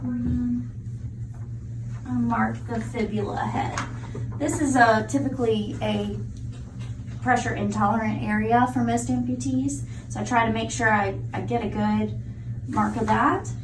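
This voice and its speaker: A woman's voice: fairly high pitch, fairly even intonation, measured pace, background noise. Woman demonstrating in an echoey room. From United States. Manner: practical, step-by-step explaining. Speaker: prosthetist. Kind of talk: hands-on clinical demonstration. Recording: echoey room, mic from far off.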